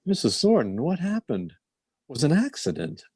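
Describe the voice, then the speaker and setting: Man acting a patronizing doctor, very animated pitch. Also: breathy edge, no room sound, clean studio sound, heavily acted. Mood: patient, dismissive. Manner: measured, reasoning. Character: calm, patronizing male family doctor. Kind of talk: old-time radio drama acting.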